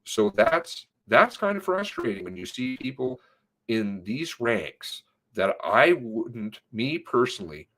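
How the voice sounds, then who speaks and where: A man reading an interview transcript aloud, stressing words for emphasis as he goes. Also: mid-range voice. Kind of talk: read-aloud of spoken interview transcript with commentary.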